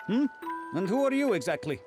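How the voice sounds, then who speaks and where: Haughty, curt, animated parent. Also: male voice, high-pitched, a little fast, over music. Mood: disdainful. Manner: curt, snobbish. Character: haughty, hostile, protective parent. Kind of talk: dramatic video game voice acting.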